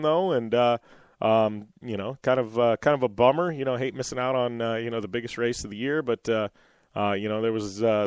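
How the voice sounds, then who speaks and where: A man hosting a motorsports radio show, talking casually with lots of fillers and restarts, his voice a little raspy after a cold. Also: mid-range voice, no room sound, studio quality. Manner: rambling, many fillers and restarts. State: slightly raspy from losing his voice.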